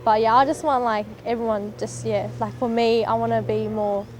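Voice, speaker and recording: Higher-pitched young woman, noisy recording. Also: level tone, moderate pace. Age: teens to young adult. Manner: rambling, peppered with "like". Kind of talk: casual interview answers. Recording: noticeable background noise.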